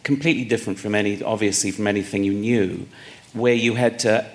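A man interviewing someone in a conversational way, repeating words as he forms his thoughts and questions. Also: fairly low pitch. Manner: halting, repeats words while forming questions.

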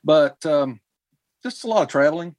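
Halting forty-something man, dry sound. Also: on the high side, animated, darkish tone, no echo. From Kentucky. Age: 40s. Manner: halting, many ums and restarts. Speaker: musician guest. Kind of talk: casual interview chat.

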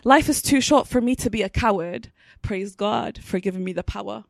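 A woman's voice: mid-range voice, normal speed, creaky, slight background noise. A woman in her twenties performing a spoken word poem with a rhythmic, emphatic delivery at a live panel. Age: 20s.

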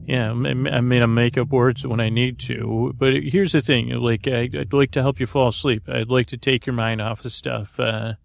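A man hosting a sleep podcast in a creaky voice, rambling through a soothing bedtime monologue in a meandering, halting, stammering way. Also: mid-range voice, steady tone. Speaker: podcast host.

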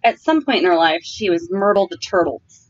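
A woman's voice: lowish voice, expressive, a little fast, dull audio. Playful storytelling woman on a podcast. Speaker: podcast co-host. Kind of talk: casual chat.

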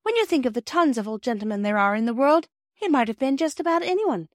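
A woman reading the part of an excitable, dramatic little girl in an audiobook. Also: sits high, fast talker, dry recording, clean studio sound, pitched above the performer's own voice. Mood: excited.

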